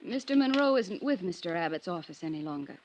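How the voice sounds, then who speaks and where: An actress playing a guarded, polite but evasive office secretary in a 1950s American radio drama. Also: female voice, lowish voice, animated, quick pace, darkish tone, close to an everyday voice. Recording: dull, noisy 1950s radio recording with clicks.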